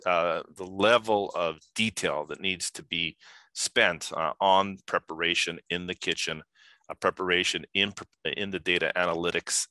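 Older male speaker, very low pitch, crisp bright tone, halting delivery full of fillers, dry video-call mic. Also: no room sound. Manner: halting, many filler sounds. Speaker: conference speaker. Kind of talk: video call keynote talk. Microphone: video call webcam.

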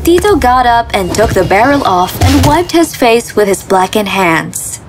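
A woman's voice: a little high, big pitch swings, unhurried, background noise. A woman giving scripted narration of a fairy tale as the storyteller. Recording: music behind the voice.

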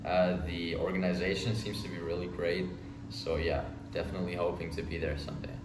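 A man's voice: low-pitched, level tone, a little fast. A young man from Germany answering interview questions in a loose, rambling way, often restarting his sentences. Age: young adult. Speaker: ice dancer, interviewee. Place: small indoor interview room. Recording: echoey room with background noise.

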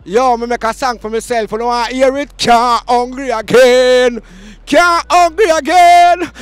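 Hyped Jamaican man with a high voice. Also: clean tone, dry recording. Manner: hyped, shouting slogans, Patois banter. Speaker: street market host. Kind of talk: roving market vox pop with a handheld mic. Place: outdoor street market. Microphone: handheld mic.

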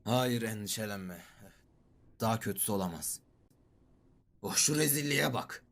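A man in an animated series dub playing a dry, unimpressed card creature with a deadpan, matter-of-fact delivery. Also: lowish voice, a little slow, vocal fry, exaggerated voice. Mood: sceptical.

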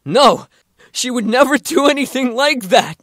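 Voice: sits high, expressive, a little slow, fairly bright tone, dry recording, studio quality. An actor playing an earnest, kind and protective young man in an audio drama, speaking with emphasis. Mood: defiant.

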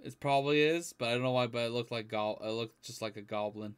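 A man's voice: mid-range voice, fast, slight background noise. A young adult man giving casual, informal reaction commentary as host of a video, recorded in a room at home. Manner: informal, mild swearing. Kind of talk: casual live reaction commentary, some reading aloud. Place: small indoor room at home.

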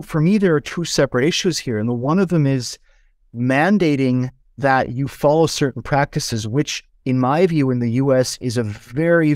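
Swiss male podcast host, mid pitch, halting restarts, dry close mic. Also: darkish tone, no room sound. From Switzerland. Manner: hesitant, restarts and stacks up phrases. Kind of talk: conversational interview.

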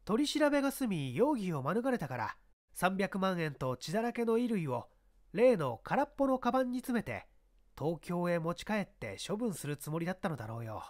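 A man's voice: high voice, very animated, moderate pace, a little mellow. A man voicing a confident police inspector in a dramatised detective story, explaining his deductions in an emphatic, rhetorical way. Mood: confident, explanatory.

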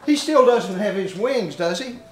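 Older guy as kindly heavenly boss, measured and commanding, radio play, echoey stage. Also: high-pitched, lively intonation. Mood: calm authority. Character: kindly authoritative heavenly chief of angels. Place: theater stage. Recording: echoey stage, distant camera.

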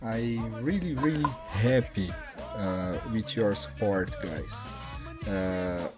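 A man in his forties from Brazil with a Brazilian Portuguese accent, hosting a casual vlog in halting non-native English full of fillers, recorded on a headset in a small home studio. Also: on the low side, music in the background. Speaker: channel host. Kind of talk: casual vlog address to viewers.

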